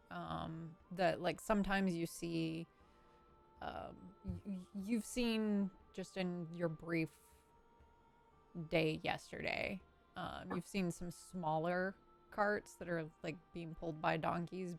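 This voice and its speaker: A woman running a live tabletop roleplaying game as game master, narrating haltingly with pauses mid-sentence. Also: lowish voice, vocal fry. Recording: faint music behind the voice.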